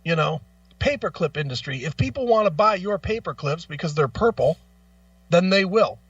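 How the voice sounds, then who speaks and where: Male talk host, high-voiced and argumentative, blunt swearing, emphatic rant delivery, recorded dry and a little off the mic. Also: animated, normal speed, dry recording, far from the mic, dull audio. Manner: repeats phrases for emphasis. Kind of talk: opinionated music talk, ranting.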